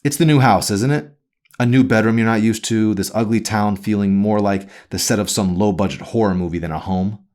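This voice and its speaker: A man's voice: on the low side, a little fast, darkish tone, voice pushed up, close to an everyday voice. A man voicing an anxious, critical mother in an audiobook, fretful and prying in manner. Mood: concerned, prodding. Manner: fretful, prying, over-earnest. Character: Amy, anxious, critical, prodding mother of a teenage girl.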